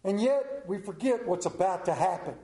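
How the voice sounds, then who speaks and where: A middle-aged television pastor with an Appalachian accent preaches in a folksy, storytelling way and asks many rhetorical questions. His voice sits somewhat high for a man but sounds warm and mellow. The sermon carries a roomy echo. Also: expressive, moderate pace.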